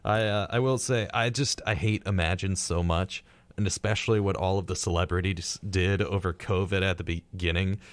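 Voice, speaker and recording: A man's voice: low voice, steady tone, moderate pace, no echo. A man co-hosting a radio show in a casual, conversational style. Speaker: co-host of a retrospective radio show. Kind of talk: casual chat.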